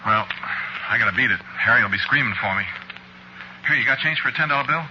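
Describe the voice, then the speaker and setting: An actor playing a shifty working-class American store clerk and cool killer, narrating in a folksy, slangy, confiding way in 1940s radio suspense style. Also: male voice, medium pitch, fast talker, lightly acted. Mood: coolly dismissive. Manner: folksy, slangy, offhand storytelling. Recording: dull, noisy vintage radio recording.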